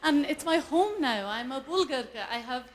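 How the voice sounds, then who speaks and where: A woman from Ireland with an Irish accent, performing an animated, self-mocking stand-up set on a live stage and laughing often. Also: high voice, moderate pace, heavy background noise. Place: live event stage before an audience.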